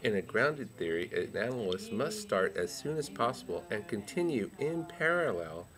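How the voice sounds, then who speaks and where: A goofy puppet professor, acted out by a man, with a lowish voice that swings up and down a lot. He explains research in a playful, silly way, with people audible behind him. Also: very animated, moderate pace, crowd noise. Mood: playful explaining. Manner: playful, silly, with high whoops. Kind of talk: comic scripted explainer in a puppet show.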